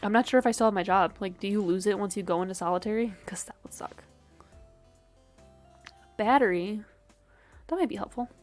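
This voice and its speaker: A woman's voice: medium pitch, a little fast, long pauses, soft background music. Sniffly woman streamer thinking aloud. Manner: thinks aloud, swears casually, muttering asides. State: sniffly with a dry throat. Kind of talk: casual game livestream commentary.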